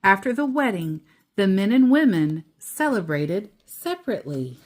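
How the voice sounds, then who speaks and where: A woman's voice: mid-range voice, very animated, normal speed, a little mellow. A woman reading a children's picture book aloud, with simple explanations in casual asides to a young listener.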